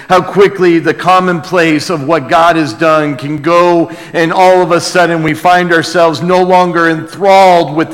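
Male preacher, sermon delivery, slow measured pace, earnest, dynamic emphasis, reverberant hall. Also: a little high. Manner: earnest, rhetorical questions, swelling from hushed to emphatic. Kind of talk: sermon and prayer to a congregation. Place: large church room or hall.

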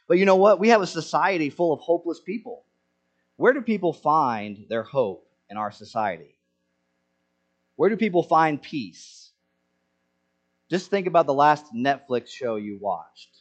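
A man's voice: on the high side, lo-fi sound. A man preaching a sermon in an earnest way, asking rhetorical questions to the congregation. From Senegal. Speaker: pastor.

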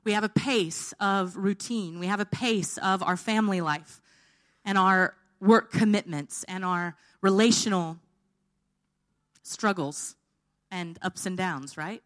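A woman's voice: medium pitch, slow pace, fairly bright tone. A woman preaching an informal sermon, her voice tired and held back, often checking in with her listeners.

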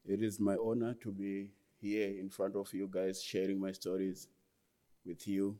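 An earnest young man with a Zimbabwean accent tells his life story from the front of a large hall. His voice sits low and mellow, and he often stops to restart a phrase. The room adds a long, trailing reverb. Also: completely flat delivery, unhurried. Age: 20s. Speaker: guest speaker. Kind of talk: personal testimony talk.

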